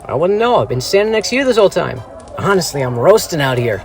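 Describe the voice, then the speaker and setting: A man's voice: sits high, sing-song, fast talker. A man playing an eager, cheeky teenage sidekick in an audio drama, talking in casual boyish slang. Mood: joking.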